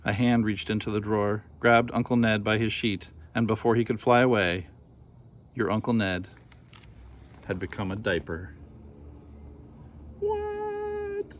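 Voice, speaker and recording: A man narrating a children's storybook in an expressive read-aloud style. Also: on the low side, moderate pace. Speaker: storybook reader.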